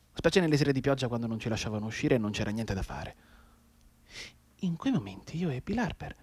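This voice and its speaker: An actor playing a mute, bedridden wounded man in an intense radio monologue, going between hushed brooding and anguished urgency. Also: medium pitch, slightly rough. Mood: wistful, brooding. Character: mute, bedridden wounded man desperately tapping out Morse code.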